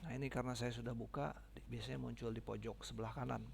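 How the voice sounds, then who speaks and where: A man giving a casual, informal step-by-step software tutorial, often checking in with his listeners. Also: mid-range voice, fairly even intonation, fast talker, creaky, slight background noise.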